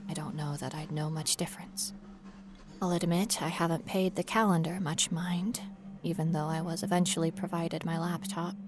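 A woman playing a frightened, stubborn young female ghost researcher in a first-person horror audio drama, with an intense, breathy delivery. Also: fairly low pitch, very noisy, close to an everyday voice. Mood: subdued, haunted. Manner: intense, breathy, dropping to whispers and rising to cries.

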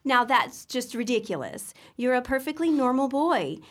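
A woman's voice: high-pitched, big pitch swings, moderate pace. A woman playing a fretful but caring middle-aged mother in a radio comedy, pleading earnestly. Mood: soothing. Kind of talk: old-time radio situation comedy acting.

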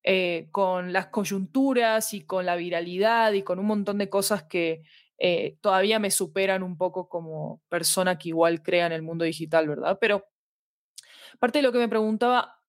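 A woman's voice: fairly low pitch, normal speed, dry recording, clean studio sound. A woman with a Latin American Spanish accent speaking as a podcast guest in a reflective, discursive way, often checking in with small tag questions. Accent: Latin American Spanish with voseo. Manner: thoughtful, discursive, seeks agreement with tag questions. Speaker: podcast guest, fiction podcast creator. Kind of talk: reflective conversational interview.

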